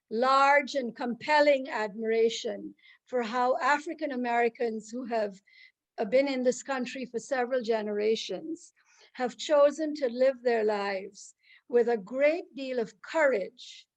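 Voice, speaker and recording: Earnest woman from Guyana. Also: on the high side. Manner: earnest, searching for words, many restarts. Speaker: host introducing a speaker. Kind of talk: live online conversation.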